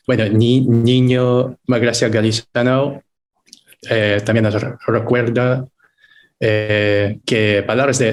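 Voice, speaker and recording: A man moderating a webinar for an embassy, politely and formally reading comments and giving closing remarks with frequent hesitation. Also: medium pitch.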